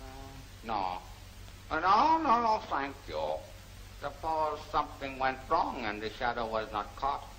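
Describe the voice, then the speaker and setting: An actor playing a polite, cautious Chinese shopkeeper in an old film serial, speaking in halting, formal sentences with dropped words. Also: male voice, mid-range voice, sing-song, sharp tone. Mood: wary, hesitant. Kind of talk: 1940s film serial acting. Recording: noisy old film soundtrack.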